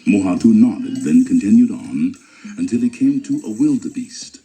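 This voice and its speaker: A man with a deep, creaky voice narrates a children's story. His delivery is dramatic and lively in pitch, with music clearly playing behind him. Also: normal speed.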